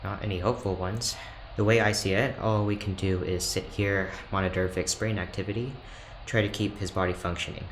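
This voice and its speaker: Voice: low voice, fairly even intonation, brisk, lightly acted. An actor playing a reserved, awkward ship's doctor in a sci-fi audio drama, speaking hesitantly and with stiff formality. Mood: resigned. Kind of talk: audio drama acting, naturalistic dialogue. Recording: roomy echo, faint background noise.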